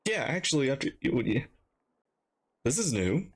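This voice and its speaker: A woman reacting casually to a game as a streamer, playful and halting as she thinks aloud. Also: very deep voice, big pitch swings, husky.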